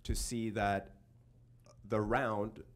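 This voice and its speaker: A man speaking as a panelist in a live investor panel, measured and a little halting. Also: fairly low pitch, level tone.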